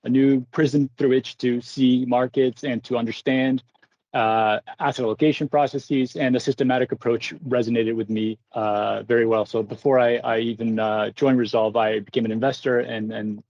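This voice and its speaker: A man from Brazil, a portfolio manager, talking as a guest in a measured, articulate way over a video call. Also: medium pitch, little pitch movement, darkish tone, no echo, dull audio. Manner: measured, articulate, a few fillers.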